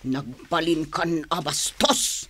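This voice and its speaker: A woman's voice: deep voice, slow pace, fairly bright tone, full character voice. A woman playing a haughty, defiant middle-aged mother in an Ilocano radio drama, scolding melodramatically.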